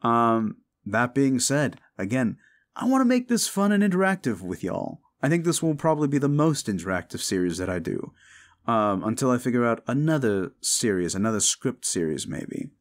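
A man hosting his audio channel, chatting informally and haltingly to his listeners as he thinks aloud. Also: mid-range voice, very animated. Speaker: audio roleplay creator and host. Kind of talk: casual outro chat to listeners.

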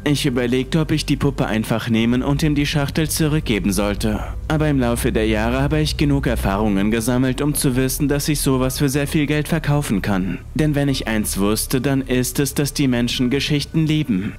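A man narrating a creepy first-person horror story in a calm, measured way. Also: mid-range voice, slight background noise.

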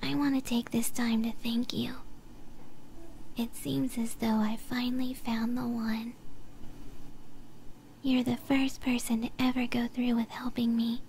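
A woman playing a creepy, lonely young occultist who loves ghosts, whispering slowly and coaxingly in an ASMR roleplay. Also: fairly high pitch, flat delivery, a little mellow, slight background noise. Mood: softly excited, eerie. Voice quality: breathy, raspy whisper.

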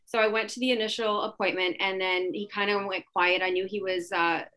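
A woman's voice: mid-range voice, fairly even intonation, brisk, bright, forceful tone. A woman from Poland, a realtor on a webinar panel, earnestly and animatedly retelling a client meeting over a video call from a home office.

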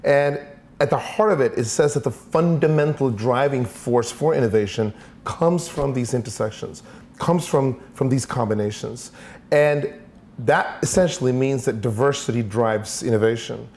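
Interview guest: man in his forties from Sweden, mid-pitched, thoughtful, repeats words while thinking, lapel mic in an office. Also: normal speed, a little mellow. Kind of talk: conversational interview answers. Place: office with large windows.

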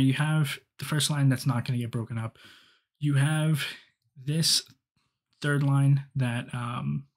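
A young man giving rambling solo hockey commentary with many ums and restarts, recorded on a headset in a small dim room. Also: mid-range voice. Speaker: hockey fan podcaster. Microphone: headset, webcam framing.

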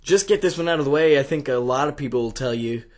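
A man's voice: mid-range voice, quick pace, a little mellow. A young man co-hosting a casual chat, nasal and stuffy from a head cold, on a desk mic in a small home studio. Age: young adult. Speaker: co-host. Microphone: desk condenser mic.

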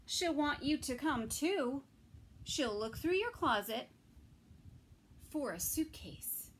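A middle-aged woman reads a picture book to toddlers in a slightly high voice. She takes it very slowly and expressively, leaving pauses between phrases. It is recorded in a room at home with faint noise behind her. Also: animated. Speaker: children's story time reader. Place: small indoor room with bookshelves.

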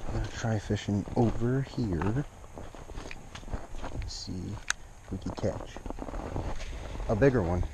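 Give me a relaxed young guy narrating a fishing vlog on a rocky shore. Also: fairly low pitch, slow, long pauses, background noise. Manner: easygoing, chatty, talks to the fish and viewers. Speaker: fishing vlogger. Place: outdoors on a rocky lakeshore. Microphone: action camera, head-mounted and at arm's length.

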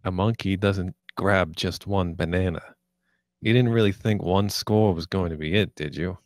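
A man's voice: low voice, normal speed, a little mellow, dry recording, clean studio sound. A man reading the part of a cocky, menacing, sarcastic young drug dealer in a screenplay table read. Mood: aggressive. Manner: sarcastic, foul-mouthed, taunting.

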